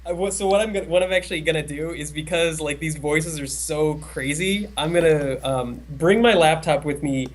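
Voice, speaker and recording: A man's voice: a little high, normal speed, hardly any pauses. A man co-hosting a casual podcast chat, talking in a hesitant, rambling way with many restarts. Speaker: co-host.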